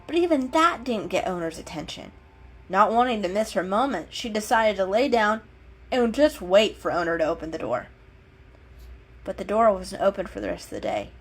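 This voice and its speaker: Animated woman reading a story dramatically. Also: mid-range voice.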